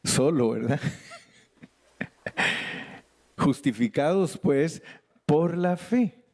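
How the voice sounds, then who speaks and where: A man preaching a sermon in Spanish as pastor, animated with playful asides, occasionally switching into English as a non-native speaker. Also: fairly high pitch, drawn-out words. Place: church sanctuary.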